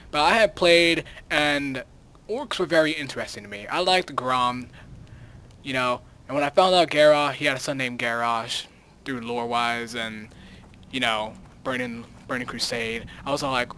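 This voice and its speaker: A man's voice: medium pitch, fairly bright tone, slight background noise. A man telling a casual, rambling gaming story as host, dramatically quoting himself and repeating phrases for emphasis.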